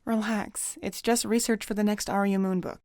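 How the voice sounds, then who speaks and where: A woman's voice: mid-range voice, little pitch movement, moderate pace, darkish tone, no room sound, clean studio sound, natural voice. A woman playing a bold, flippant pony novelist in an audio drama, speaking in a teasing, wry way.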